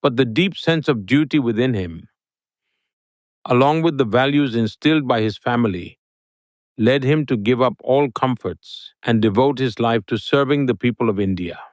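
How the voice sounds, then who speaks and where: A man giving an interview guest's answers in English, with a steady, formal delivery like a prepared speech. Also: medium pitch, normal speed, dry recording, clean studio sound.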